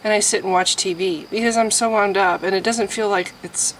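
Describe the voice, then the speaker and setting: A woman vlogging in a casual, rambling way, chatty and self-deprecating, who keeps clearing a scratchy throat. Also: medium pitch, fairly even intonation, a little fast. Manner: chatty, self-deprecating, frequent laughs and restarts. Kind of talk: casual rambling chat to camera.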